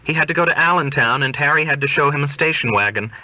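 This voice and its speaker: A man's voice: fairly high pitch, fast talker, no echo, lo-fi sound, voice pushed up, natural voice. A man reading an audiobook as a tipsy young mother who is brittly cheerful, defensive and insistently reassuring. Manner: insistent, over-bright reassurance.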